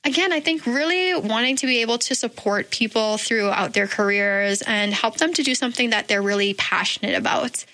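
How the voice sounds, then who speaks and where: Bright-voiced woman guest, many pauses. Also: fairly high pitch. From Bahamas. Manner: thoughtful, many "um" fillers. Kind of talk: radio interview answers. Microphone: studio microphone.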